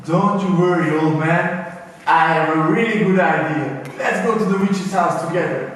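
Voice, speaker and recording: A man's voice: fairly high pitch, moderate pace, a bit bright, natural voice. A man playing an eager, freed baker in a live puppet show, declamatory and enthusiastic. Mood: confident. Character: eager freed baker, once cursed into a horse. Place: arts centre puppet show performance. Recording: very echoey hall, distant mic, faint noise.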